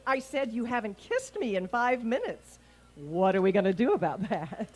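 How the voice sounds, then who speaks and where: A woman's voice: on the high side, sing-song, moderate pace. A woman playing a wry, lovestruck, scheming schoolteacher in a vintage radio sitcom, arch and gushing with endearments. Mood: arch, insistent. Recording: noisy live raw event recording.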